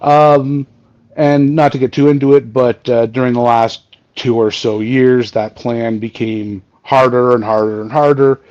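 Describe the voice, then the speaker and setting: A Canadian man in his forties with a booming voice, telling his story in a rambling way as a podcast guest. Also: flat delivery, a little slow, darkish tone, dry recording, lo-fi sound. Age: 40s. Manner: rambling, many fillers and restarts. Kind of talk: casual storytelling chat. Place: home. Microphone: remote video call.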